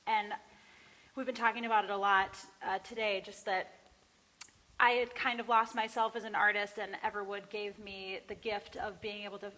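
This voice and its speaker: A woman with a bright, forceful tone talks earnestly and haltingly to a small gathering. Her mid-pitched voice rings in the long reverb of a barn as she speaks casually and from the heart. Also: flat delivery. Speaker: singer and songwriter introducing own songs. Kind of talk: casual, personal talk before a performance. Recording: reverberant live barn recording.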